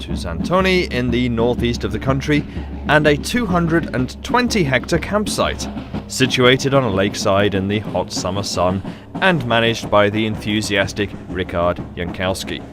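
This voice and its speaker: A male radio news reporter narrating a scripted feature in a lively voice. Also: mid-range voice, normal speed, background noise. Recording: voice-over with loud music bed.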